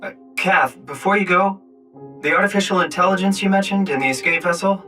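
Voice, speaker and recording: A man's voice: sits high, a little fast, bright, forceful tone, soft background music. An actor voicing a questioning, earnest everyman hero in a video game, talking in a casual, wry way. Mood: curious. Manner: casual, inquisitive.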